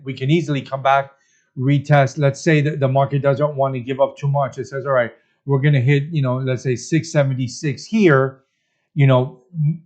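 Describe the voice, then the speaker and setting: A man's voice: medium pitch, a little mellow. A man hosting an informal stock chart breakdown as a market analyst, talking conversationally and often checking in with tag questions. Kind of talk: informal trading chart commentary. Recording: dull, lo-fi voice recording.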